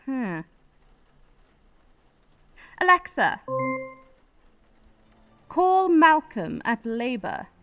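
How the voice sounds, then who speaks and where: Sardonic scheming political wife, high animated voice played by a woman. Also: heavily acted. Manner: sardonic, exasperated, sweary. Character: scheming, bitter, foul-mouthed female politician, prime minister's ambitious wife. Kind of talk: radio play acting, monologue.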